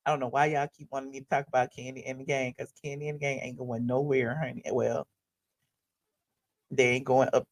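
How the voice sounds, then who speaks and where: Hoarse lady chatting on her livestream, sassy and drawn out. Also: rumbling low, flat delivery, a little fast. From Atlanta area. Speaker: livestream host. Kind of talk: casual live-stream chat.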